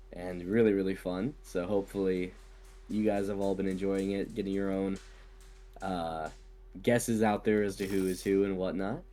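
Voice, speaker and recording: A man co-casting a strategy game while fighting a cold, his voice low and thickened. He talks quickly and cheerfully, chatting about the match. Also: a little mellow. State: sick with a cold, deeper voice than usual. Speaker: co-caster. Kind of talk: game commentary.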